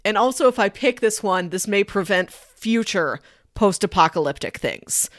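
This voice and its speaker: A woman's voice: mid-range voice, animated, normal speed, a bit bright, no echo, clean studio sound. A woman co-hosting a podcast, chatting casually about comics and films. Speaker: podcast co-host.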